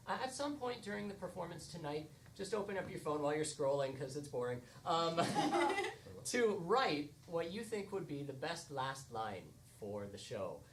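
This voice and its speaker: An American man hosting a live improv show, giving a casual, joking introduction to a theatre audience. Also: on the high side. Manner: wry, joking. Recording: noisy live theatre stage recording.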